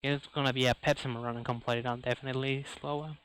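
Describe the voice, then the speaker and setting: A man's voice: medium pitch, level tone, quick pace, fairly bright tone, clean tone, poor audio. A man giving casual live speedrun commentary in non-native English phrasing, with video game music and effects behind him.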